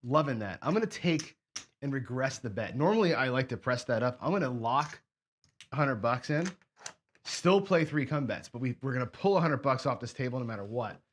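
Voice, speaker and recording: Hoarse, sniffly man talking through bets. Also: medium pitch, animated, fairly quick. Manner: thinks aloud while counting chips and placing bets. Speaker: host of a craps strategy show. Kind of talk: casual play-along commentary.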